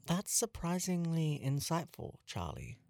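A woman's voice: low voice, big pitch swings, a little slow, vocal fry, a little mellow, no echo, clean studio sound, heavily acted. A woman playing a concerned, thoughtful friend in an audio drama, earnest and questioning in manner. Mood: wry.

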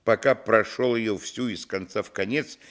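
A man's voice: on the low side, normal speed, lightly acted. An actor playing a grown man fondly recalling his village boyhood, narrating a literary radio play expressively. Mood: reflective. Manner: expressive storytelling.

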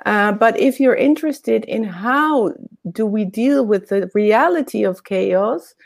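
A woman's voice: a little high, animated, slow, gravelly. A middle-aged woman from Argentina, a podcast guest talking reflectively and at length. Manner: reflective, expansive, laughs easily. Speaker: podcast guest, innovation consultant and coach. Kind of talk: conversational podcast interview.

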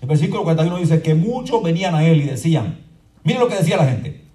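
A man preaching a fervent sermon as pastor, moving between quiet explanation and shouted proclamation and often asking his congregation to agree. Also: fairly high pitch, lively intonation, fast. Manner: often asks the congregation "¿verdad?". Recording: echoey room from far off with faint crowd noise.

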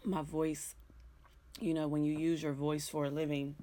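Raspy, low-voiced woman sports host. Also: flat delivery, normal speed, warm tone. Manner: frank, joking, rambling, with mild cursing. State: hoarse. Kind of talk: casual sports talk monologue.

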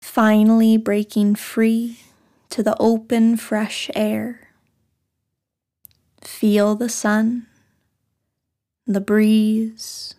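A woman leading a guided meditation in a soft, breathy, soothing voice, speaking short phrases with long pauses. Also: fairly high pitch. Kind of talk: guided meditation, scripted narration.

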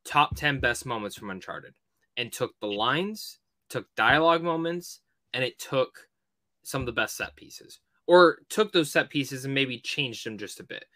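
Bright, forceful man on a podcast. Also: mid-range voice, expressive, a little slow, no room sound. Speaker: podcast co-host. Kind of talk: casual movie discussion.

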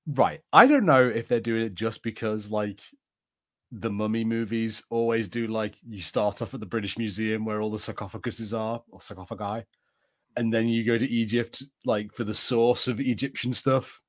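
A man's voice: lowish voice, fairly even intonation, moderate pace, fairly bright tone. A man co-hosting a podcast, chatting casually in a hesitant, rambling way. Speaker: podcast co-host. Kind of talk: casual chat, reading out viewer comments.

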